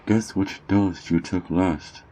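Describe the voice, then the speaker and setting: A man playing a sly, mocking underground drug dealer in an ASMR roleplay, teasing and smug, with theatrical drawn-out words. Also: deep voice, steady tone, mellow, low-quality recording. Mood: menacing, gloating. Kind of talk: ASMR character roleplay monologue.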